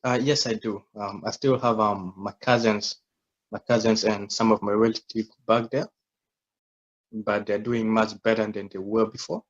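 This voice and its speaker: A man's voice: fairly low pitch, level tone, normal speed, highs cut off. A young man from South Sudan in his twenties, a humble and reflective guest telling his story in a casual interview.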